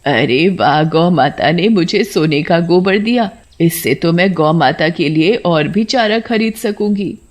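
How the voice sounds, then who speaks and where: A woman voicing a poor, kind-hearted old village woman in a cartoon story, speaking in a slow, plaintive, drawn-out way. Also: lowish voice, animated. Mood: amazed. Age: elderly. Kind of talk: Hindi moral cartoon story acting.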